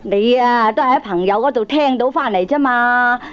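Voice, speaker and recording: An actress playing a sharp-tongued, indignant middle-aged wife and mother in a Cantonese comedy radio drama, with a Hong Kong accent and a nagging, scornful, combative manner. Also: fairly high pitch, normal speed, smooth, natural voice. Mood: scornful, scolding. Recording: dull lo-fi old cassette tape.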